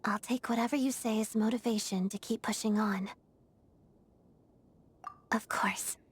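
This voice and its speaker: A woman voicing an earnest, disciplined young ballet dancer in a video game, speaking in a composed, formal and measured way. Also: a little high, fairly even intonation, slightly rough. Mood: earnest, polite. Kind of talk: video game character voice acting.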